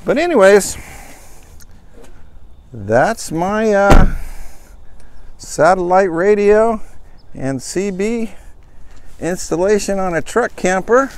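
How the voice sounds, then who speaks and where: A man's voice: sits high, lively intonation, heavy background noise. A man casually walking through how he fitted gear into his pickup and camper, talking plainly with lots of fillers. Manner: plain, unhurried, homespun phrasing with fillers. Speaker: vlogger showing his truck camper. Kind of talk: casual show-and-tell walkthrough. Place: in and around a pickup truck.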